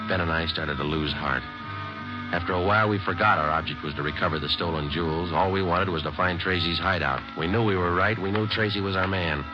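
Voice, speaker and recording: Guy playing a super deep deadpan cop, clipped and flat, dull old radio sound. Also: close to an everyday voice. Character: weary dogged male police detective narrator. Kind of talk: 1950s radio drama acting.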